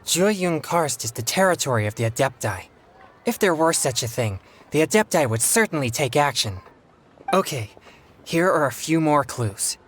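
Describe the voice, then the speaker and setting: An earnest young exorcist, noisy game audio. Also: male voice, fairly high pitch, animated, fairly bright tone. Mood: earnest, pondering. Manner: formal, courteous, measured phrasing. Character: earnest, polite young male exorcist hunting evil spirits. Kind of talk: scripted video game dialogue.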